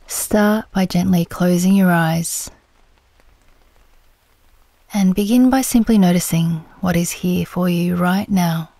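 Female meditation guide: dark, relaxed timbre, soothing unhurried delivery, soft breathy phrase endings, very dry recording. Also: lowish voice, dry recording. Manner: gentle, soothing, unhurried with long pauses.

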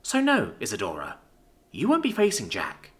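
A man's voice: lowish voice, wildly swooping pitch, normal speed. A man voicing a cool, resolute young woman who is protective of her friends, in an audiobook. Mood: coldly determined. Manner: cold, resolute.

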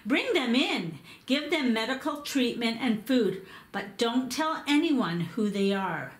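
A woman's voice: on the high side, lively intonation, takes it slowly. A woman voicing a commanding old king who gives orders to his guards. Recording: echoey room.

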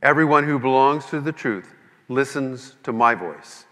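Man reading as resolute Jesus, bright and echoing. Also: mid-range voice, unhurried. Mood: calm, resolute. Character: Jesus, calm, resolute male prisoner on trial. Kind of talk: dramatic scripture reading. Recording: long echoing hall reverb.

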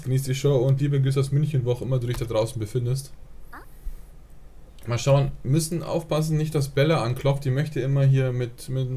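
A man's voice: mid-range voice, warm tone, slight background noise. A man, live streaming a life-simulation game, rambling casually and answering chat with lots of fillers. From Germany. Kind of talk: casual gaming livestream chat.

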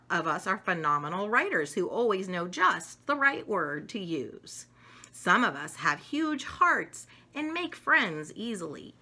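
A middle-aged woman reading an educational children's book aloud with deliberate, emphatic phrasing for young listeners. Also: on the low side, sharp tone. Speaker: storyteller reading picture books. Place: home dining room, indoors.

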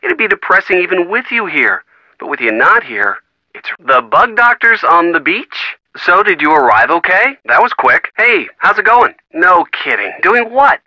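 An actor playing an easygoing, teasing young American boyfriend in a video game, casual and wry. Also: male voice, high voice, big pitch swings, moderate pace, pushed and bright, no room sound, heavily acted, low-quality recording. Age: young adult.

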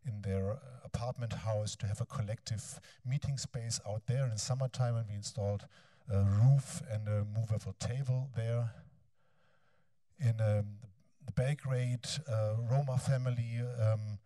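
A man in his fifties with a German accent gives a lecture in a large bright hall on a handheld mic, hesitating often with ums and restarts. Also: on the low side, steady tone. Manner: halting, frequent ums and restarts. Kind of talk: academic lecture, then reading a text aloud.

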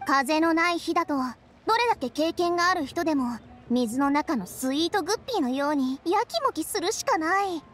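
An actress playing a tiny, curious fairy companion in an anime game, childlike, blunt and squeaky. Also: female voice, animated, a little fast, fairly bright tone. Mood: puzzled, curious. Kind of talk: anime video game voice acting.

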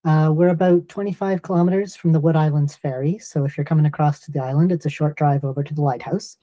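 A middle-aged man, explaining things in a measured, informative way as an interview guest on a video call. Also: fairly high pitch, fairly even intonation, warm tone, no echo. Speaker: guest, lighthouse organisation executive.

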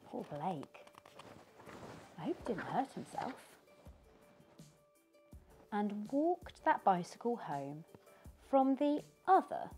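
A woman's voice: medium pitch, big pitch swings, mellow, faint music behind. A middle-aged British woman reading a picture book aloud to children in a living room, asking them questions as she goes. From United Kingdom. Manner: slow, sing-song storytelling with questions to young listeners.

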